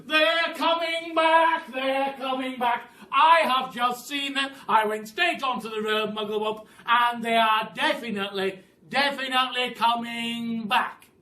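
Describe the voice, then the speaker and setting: A man voicing an excitable, pompous roly-poly bird in a children's storybook, exclaiming and repeating words urgently. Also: very high voice, measured pace, few pauses, pitched above the performer's own voice, full character voice. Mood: alarmed. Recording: roomy, distant mic, faint hiss.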